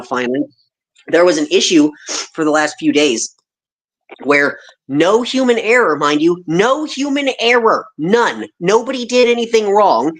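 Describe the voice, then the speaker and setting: A man co-hosting a casual livestream chat, telling stories in an animated, ranting way and mimicking other people's voices. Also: fairly high pitch. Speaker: co-host.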